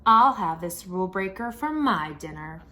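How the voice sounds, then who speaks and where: A woman's voice: fairly low pitch, animated, moderate pace, bright, forceful tone. Storybook creature: vengeful talking bathtub, hungry, emphatic and menacing female reader. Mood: threatening.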